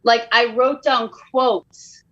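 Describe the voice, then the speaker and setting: Female comedian guest, higher-pitched, dramatic and self-deprecating storyteller on a chat podcast. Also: fairly even intonation, slow, a bit bright, dull audio. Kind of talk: animated comic storytelling and chat.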